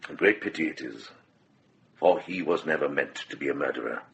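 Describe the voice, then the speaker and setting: An actor playing a shrewd, compassionate middle-aged monk in a radio drama, speaking with grave, measured deliberation. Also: male voice, extremely low pitch, gravelly, a bit bright, full character voice. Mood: grave, accusing.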